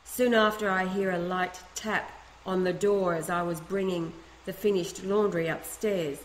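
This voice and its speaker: A woman reading a first-person letter aloud in a steady, earnest way, with a few small restarts. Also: on the low side, a little slow. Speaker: reader of a letter. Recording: echoey room, faint ambience.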